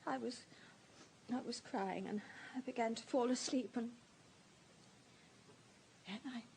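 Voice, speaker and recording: A distraught society woman in a radio drama. Her high voice halts and stammers, emotional and full of self-pity, over faint background noise. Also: darkish tone. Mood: despairing, tearful. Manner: halting, breathy, emotional delivery with stammers. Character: distraught, self-pitying society woman under police questioning.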